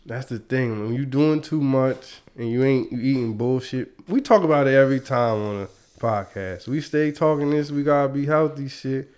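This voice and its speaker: Congested laid-back podcast host. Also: male voice, medium pitch, moderate pace, few pauses, darkish tone, clear, resonant tone. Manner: laid-back, slangy, chuckling. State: congested from a cold. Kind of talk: casual podcast chat.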